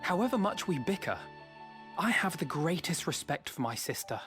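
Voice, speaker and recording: A man's voice: fairly high pitch, sing-song, normal speed, a bit bright. Young male game scholar: earnest, refined, eloquent archaic speech, light music behind. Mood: admiring, reflective. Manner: formal, eloquent, archaic diction. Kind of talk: scripted video game cutscene acting.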